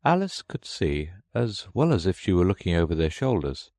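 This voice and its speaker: A man's voice: low-pitched, big pitch swings, normal speed, darkish tone, dry recording, clean studio sound. A man reading a children's story aloud as scripted audiobook narration.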